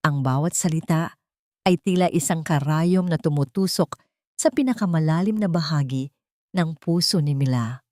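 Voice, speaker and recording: A woman's voice: deep voice, normal speed, warm tone, no room sound, studio quality. A woman narrating a scripted drama story in a solemn, melodramatic manner.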